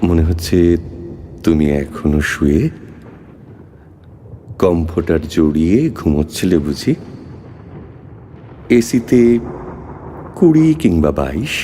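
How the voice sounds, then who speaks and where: Deep, slow, lovelorn male poet acted by a man. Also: animated, warm tone, a little hiss or hum. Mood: wistful, tender. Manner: slow, emotive, theatrical phrasing. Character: lovelorn male poet, wistful, yearning, brooding. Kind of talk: dramatic Bengali poetry recitation as phone dialogue. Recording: long reverb, music underneath.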